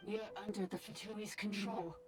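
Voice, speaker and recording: A woman's voice: mid-range voice, fairly even intonation, normal speed, lightly acted. A woman voicing a captive automaton in a video game, making plain declarative reports. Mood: flat, reporting. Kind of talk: video game character acting. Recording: distant voice under loud music.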